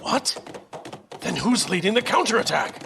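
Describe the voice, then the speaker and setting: A man playing a commanding, hot-blooded fortress captain in an audio drama, with a gravelly, raspy voice and forceful delivery. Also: high-pitched, big pitch swings, normal speed, background noise, heavily acted. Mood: gruff, incredulous. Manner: forceful, barking orders.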